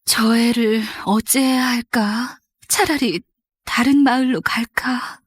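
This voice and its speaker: A woman voicing a caring, protective mother duck in a children's storybook, gentle and soothing. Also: on the high side, steady tone, takes it slowly, slightly rough, no room sound. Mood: worried.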